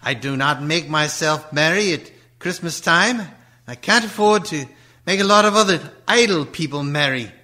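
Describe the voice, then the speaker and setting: A man's voice: a little high, animated, normal speed, sharp tone. An actor playing a miserly, grumpy old businessman in a radio play, curt, sneering and scornful. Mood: irritable, scornful. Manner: curt, sneering, grumbling with hums and dry chuckles.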